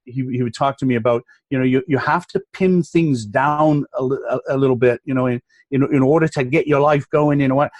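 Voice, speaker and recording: A man's voice: mid-range voice, fairly even intonation, fairly quick, no echo, highs cut off. A middle-aged man, a coach guesting on a podcast, speaking emphatically and motivationally in punchy lists. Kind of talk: podcast interview.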